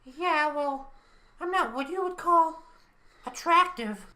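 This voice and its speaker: A woman acting as a self-conscious robopsychologist in her late thirties in a radio play, guarded and halting. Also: high voice, pushed and bright, heavily acted. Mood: guarded, self-deprecating.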